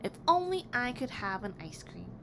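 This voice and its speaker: Noisy recording, woman playing bossy storybook big sister, bored, dismissive, grumbling, drawn-out words. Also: fairly high pitch, big pitch swings. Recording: clearly noisy background.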